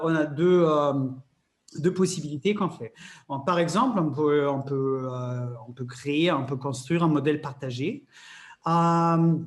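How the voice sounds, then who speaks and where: A man facilitator explaining a workshop method in a webinar, hesitant, with many fillers and restarts. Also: on the high side.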